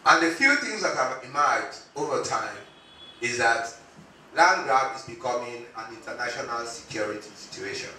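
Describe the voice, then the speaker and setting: A man's voice: medium pitch, expressive, moderate pace, pushed and bright. A middle-aged man giving a formal presentation as a lecturer. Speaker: university lecturer. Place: conference room indoors. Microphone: handheld microphone. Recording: very echoey, distant, faint noise.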